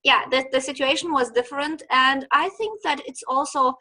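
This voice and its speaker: A woman's voice: high voice, normal speed, pushed and bright. A woman in her forties with a Lithuanian accent, hosting a casual interview as a sleep consultant, chatty and searching for words with fillers and repeats. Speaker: host and children's sleep consultant.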